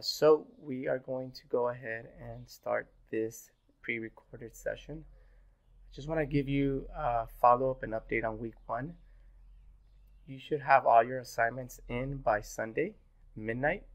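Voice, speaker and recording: A man in his thirties, a little sick and hoarse, giving a pre-recorded online class as instructor, relaxed and full of fillers. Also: medium pitch, unhurried. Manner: relaxed, filler-heavy, repeats "go ahead". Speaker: university instructor. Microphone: webcam in corner of screen recording.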